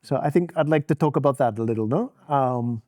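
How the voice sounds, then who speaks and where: A man interviewing students, asking questions in a relaxed, conversational way. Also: mid-range voice, brisk.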